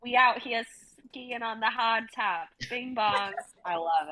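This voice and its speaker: A woman's voice: fairly high pitch, takes it slowly, pushed and bright, poor audio. A young woman in casual chat, playful and slangy. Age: young adult. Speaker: show regular.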